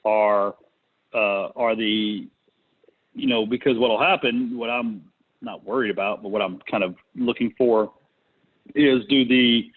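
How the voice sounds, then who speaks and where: A man talks through a thin, phone-like connection as host of a business call. His delivery is casual and unscripted. He pauses to think as he goes. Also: on the low side. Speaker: conference call host, real estate investor. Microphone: conference call connection. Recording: muffled, narrow phone-line sound.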